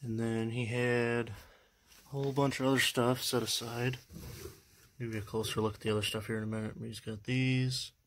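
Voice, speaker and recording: A young man chatting casually in a vlog, halting and full of fillers, filming indoors at a house. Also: mid-range voice, flat delivery, slight background noise. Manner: halting, many fillers, unsure. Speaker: vlogger. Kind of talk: casual show-and-tell vlog.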